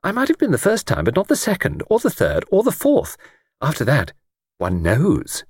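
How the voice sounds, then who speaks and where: A man voicing a gentle, chatty old English lady in an audiobook, with a thin, quiet voice and a hesitant, rambling, genteel manner. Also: on the high side, wildly swooping pitch, normal speed, husky, dry recording, pitched above the performer's own voice, full character voice. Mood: gently earnest. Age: older. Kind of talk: audiobook character reading.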